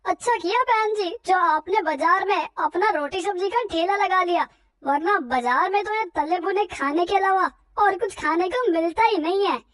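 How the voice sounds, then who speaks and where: An eager, friendly male customer from a cartoon moral tale, voiced by a woman, exclaiming in a high voice. The recording is dry and close. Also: normal speed, fairly bright tone, no echo. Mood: delighted. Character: cheerful male office worker customer, friendly. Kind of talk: cartoon story dialogue.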